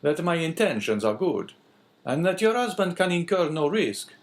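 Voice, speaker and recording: A man's voice: fairly high pitch, lively intonation, normal speed, voice pushed up. A man voicing a solemn, earnest priest. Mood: reassuring. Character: calm, earnest middle-aged male priest.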